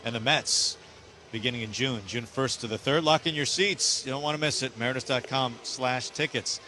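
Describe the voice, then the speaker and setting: A man's voice: medium pitch, moderate pace, pushed and bright, slight background noise. An American man doing polished baseball radio commentary and announcements as a broadcaster. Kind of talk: polished sports broadcast commentary and promo reads.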